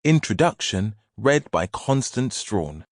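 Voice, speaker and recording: A man's voice: lowish voice, sing-song, slow pace, no echo, studio quality. A man reading scripted audiobook title and credit announcements.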